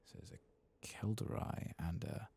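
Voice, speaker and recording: A man softly commenting on his gameplay in half-whispered, mumbling asides, his voice lower than usual after singing, close to the microphone. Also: measured pace. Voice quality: very deep, gravelly and husky. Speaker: ASMR gaming channel host. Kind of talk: soft-spoken gameplay commentary.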